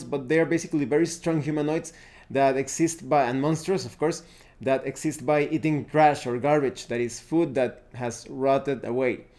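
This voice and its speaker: A man presenting an unscripted game review, explaining things deliberately and often pausing mid-sentence. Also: medium pitch, a little mellow. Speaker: tabletop RPG reviewer, presenter.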